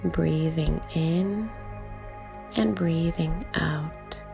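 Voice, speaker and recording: Female meditation guide: lowish, soft voice, calm and unhurried, short phrases, gentle music underneath. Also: gravelly. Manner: calm, soothing, short phrases with long pauses. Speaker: meditation guide, life coach. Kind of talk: guided body scan meditation. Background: background music.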